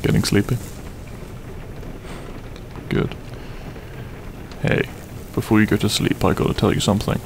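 A man playing a grumpy but tender half-dragon roommate in an intimate ASMR roleplay, gruff, sleepy and halting in a soft voice. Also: on the low side, flat delivery, background noise. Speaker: roleplay voice actor. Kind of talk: intimate ASMR audio roleplay, comfort scene.

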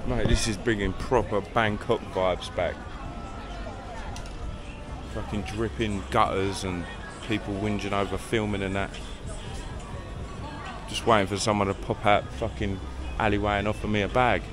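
A man's voice: fairly low pitch, flat delivery, normal speed, pauses often, music in the background. Slangy London man at a night market. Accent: London English. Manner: swearing, slangy, chatty. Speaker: travel vlogger. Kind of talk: casual vlog chat. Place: busy night market street outdoors. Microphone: action camera held at arm's length.

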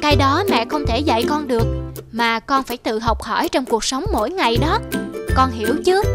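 A woman's voice: sits high, fairly quick, over loud music, lightly acted. A woman voicing a stern but caring mother leopard in a children's cartoon, with a firm, instructive manner. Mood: gentle, teaching.

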